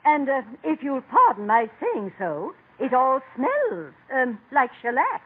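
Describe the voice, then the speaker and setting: A refined society lady in vintage radio comedy style. Her voice is high and very animated, with affected, genteel emphasis. It comes through a thin, noisy old recording. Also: takes it slowly, husky, phone-quality sound, heavily acted. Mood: politely hesitant. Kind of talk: 1940s radio comedy acting.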